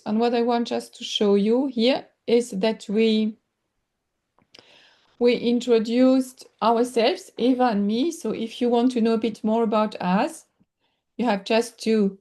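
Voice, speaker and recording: A woman with a French accent lecturing in English over a video call, pausing often and searching for words with many fillers. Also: a little high, warm tone. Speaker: workshop lecturer. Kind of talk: online teaching session.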